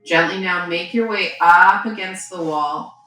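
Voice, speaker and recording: A woman yoga teacher giving gentle, unhurried guided breathing and posture instruction, with pauses between phrases. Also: on the low side. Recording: very echoey room, faint background noise.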